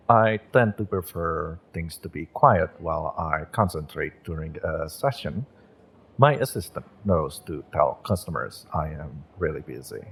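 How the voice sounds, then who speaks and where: A man acting a gentle, courteous witch who runs a magick shop and reads tarot, speaking politely and a little hesitantly in a roleplay audio. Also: sits low, breathy edge, heavily acted. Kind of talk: roleplay audio, acted monologue to the listener.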